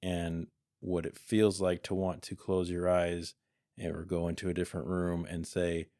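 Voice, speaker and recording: Man in his forties with a very low, flat voice. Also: normal speed. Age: 40s. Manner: candid, hesitant with restarts. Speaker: podcast co-host. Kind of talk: casual chat.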